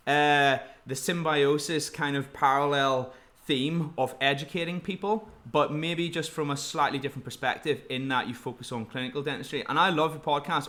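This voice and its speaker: A man's voice: a little high, pushed and bright. A man hosting a podcast interview, friendly and chatty, talking in loose rambling sentences. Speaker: podcast host.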